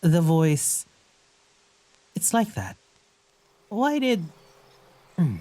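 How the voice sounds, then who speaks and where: An actor playing a gentle, wary young traveler in a naturalistic audio drama, speaking in a hesitant, musing way. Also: mid-range voice, huge pitch swings, drawn-out words, heavily acted. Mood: friendly, tentative.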